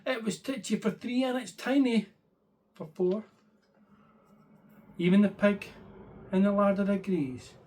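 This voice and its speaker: A man's voice: sits high, a little slow, pauses often, a little mellow, a little hiss or hum, voice pushed up. A man voicing a fretful, grumbling little old lady in a bedtime story, pleading and exclaiming plaintively. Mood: exasperated.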